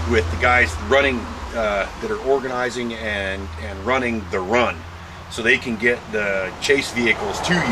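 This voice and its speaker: An American man giving a casual, halting explainer to camera in an informal, thinking-aloud way. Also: mid-range voice, background noise. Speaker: vlogger showing a truck modification.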